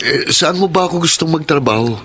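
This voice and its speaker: A man's voice: fairly high pitch, animated, fairly quick, a bit bright, a little hiss or hum. An actor playing a proud, hardworking young Filipino husband and father in a radio drama, speaking earnestly and insistently. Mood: hurt, insistent.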